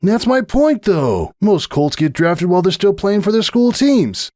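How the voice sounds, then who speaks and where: Man putting on a folksy, higher-pitched farm mare. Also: sing-song, mellow, no echo, clean studio sound. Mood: encouraging. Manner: folksy, slow, reassuring. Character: young female farm pony, kindly, steady, teasing.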